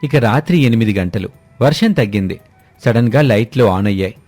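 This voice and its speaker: A man's voice: fairly low pitch, animated, a little fast, a little mellow, no echo, soft background music. A man narrating a scripted moral story as its storyteller.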